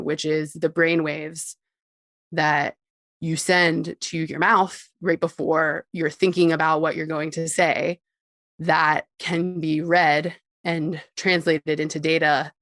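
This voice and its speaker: A woman's voice: low voice, no echo. A woman speaking as an expert guest in a podcast interview, explaining ideas in a thoughtful, articulate and conversational way, often saying "like". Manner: thoughtful, articulate, peppered with "like" and small chuckles. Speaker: interview guest, tech company CEO and co-founder.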